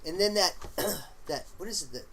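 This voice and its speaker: A man's voice: sits high, a little hiss or hum. Rambling man hosting a live stream. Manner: meandering, halting, frequent fillers and throat clearing; relaxed, opinionated talk about smoking.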